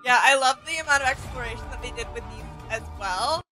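A woman's voice: high voice, fast, pushed and bright, soft background music. A woman, chatting casually as co-host of a reaction. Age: 20s. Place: small home gaming room, indoors.

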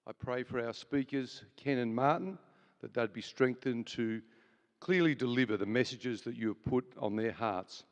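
Earnest host in a big echoing hall. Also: male voice, medium pitch, moderate pace. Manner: earnest, with frequent fillers and restarts. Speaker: event host. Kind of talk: welcome and opening prayer at a church event. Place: large hall with live audience. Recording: reverberant large hall.

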